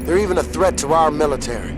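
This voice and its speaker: An actor playing an earnest military officer and worried, loyal friend in a video game, urgent and businesslike. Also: male voice, on the high side, quick pace, background noise, natural voice. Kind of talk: scripted video game acting.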